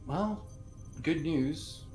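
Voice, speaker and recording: A man's voice: on the high side, lively intonation, extremely slow, a little mellow. A man speaking as a player in a live tabletop roleplaying game, in a room with audible echo. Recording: roomy echo on voice. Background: music.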